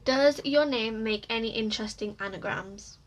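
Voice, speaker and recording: A teenage girl from England co-hosting a casual podcast, a bit hesitant and quick to laugh. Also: on the high side. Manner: hesitant, soft-spoken, laughs often. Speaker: podcast co-host. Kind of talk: casual chat.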